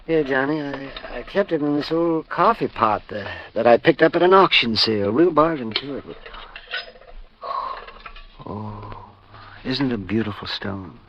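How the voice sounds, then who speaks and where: An actor playing a meek, eccentric old hoarder in a 1950s American radio drama, fussy and dithering, repeating himself. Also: male voice, mid-range voice, lively intonation, measured pace, a little hiss or hum, lightly acted. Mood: resigned, wistful. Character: meek eccentric lonely old male hoarder, secret killer. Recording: dull vintage radio recording.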